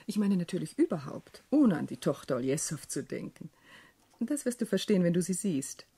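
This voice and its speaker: A weary young widow in a radio play, shrewd and frank about herself. Her voice is low and mellow with a husky grain, and she speaks in measured, reflective phrases. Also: very animated, exaggerated voice. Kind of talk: German radio play acting.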